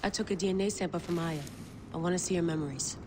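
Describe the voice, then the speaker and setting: A woman's voice: fairly low pitch, fast talker, noisy background, lightly acted. An actress playing a stubborn, obsessive young woman researcher in a video game cutscene, with a curt, natural delivery. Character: headstrong, obsessive young woman researcher chasing her dream.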